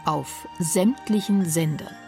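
A woman reading scripted narration for a radio documentary, measured and softly spoken, over a music bed. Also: lowish voice.

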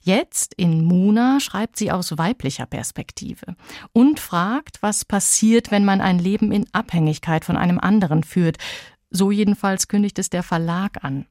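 A woman presenting a book programme as radio host, scripted, composed and measured. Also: medium pitch, darkish tone, no room sound, clean studio sound.

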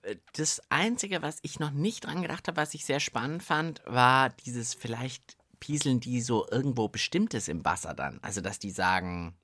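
Man, kids' podcast co-host, mid pitch, highly animated melody, playful banter, musing aloud. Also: moderate pace, hardly any pauses. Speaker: co-host of a children's science podcast.

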